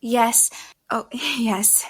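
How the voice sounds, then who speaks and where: An actress playing a wary, proper young woman in a period audio drama, with refined, measured, old-fashioned speech. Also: fairly high pitch, slightly rough, heavily acted. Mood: feigned composure. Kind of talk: first-person period audio drama narration and dialogue.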